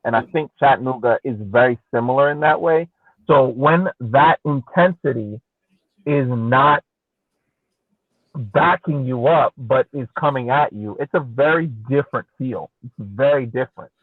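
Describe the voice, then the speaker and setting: Man, podcast co-host, casual sports analysis, deliberate point-by-point delivery, phone-like narrow sound, dry. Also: medium pitch, no echo. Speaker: podcast co-host and soccer fan.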